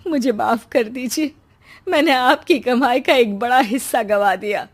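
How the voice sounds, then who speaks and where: Casting: woman as worried, remorseful mother, middle-aged, plaintive, slightly high voice, for story drama. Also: unhurried.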